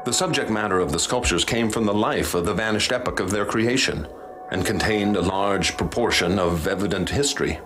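Guy acting a low voiced haunted professor, formal n ominous, radio drama, noisy ambience behind. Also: fairly quick, close to an everyday voice. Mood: ominous, troubled. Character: grave haunted male professor recounting horrors he witnessed. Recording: clear background noise and ambience.

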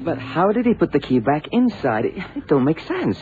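An actor playing a puzzled, simple, eager sidekick in a 1970s radio drama, questioning in ungrammatical speech. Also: male voice, fairly high pitch, big pitch swings, music in the background. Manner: slow-witted, questioning, ungrammatical. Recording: thin, phone-like vintage radio recording.

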